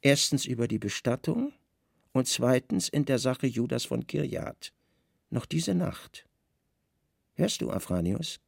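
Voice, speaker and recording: A man playing a brooding, commanding Roman governor in a radio play, speaking with measured authority and pointed emphasis. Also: medium pitch, big pitch swings, gravelly, husky, no room sound, studio quality, full character voice. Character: brooding Roman governor, commanding, wry, insistent on his hunches.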